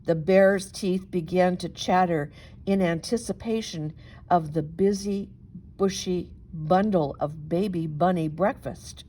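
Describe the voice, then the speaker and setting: Slow, dramatic woman storyteller. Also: lowish voice, dark tone, a little hiss or hum. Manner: dramatic, deliberate emphasis. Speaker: storybook reader, reverend. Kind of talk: expressive children's story narration.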